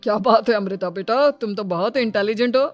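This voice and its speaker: A woman's voice: medium pitch, brisk, soft background music, close to an everyday voice. A woman voicing a genial, proud older mother-in-law in a family comedy cartoon, chatty and indulgent.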